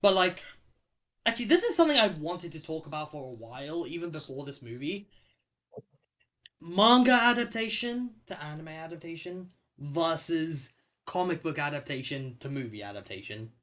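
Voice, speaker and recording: A young man hosts a casual podcast about films, his voice sitting a little high for a man. He talks in stop-start bursts, restarting his sentences and swinging between loud and soft. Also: moderate pace. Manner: hesitant, many restarts and fillers, animated swings in loudness. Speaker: podcast host. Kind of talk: casual film discussion.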